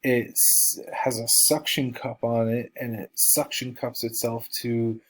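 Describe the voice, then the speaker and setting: A man with a stuffy nose from allergies, slowly lecturing biology students over slides with many ums and uhs. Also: mid-range voice, flat delivery. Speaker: college biology instructor.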